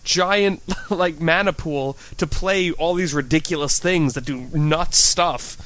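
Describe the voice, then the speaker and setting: A fairly high-pitched man chatting casually as a podcast guest. His talk is loose and relaxed, with plenty of fillers. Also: takes it slowly.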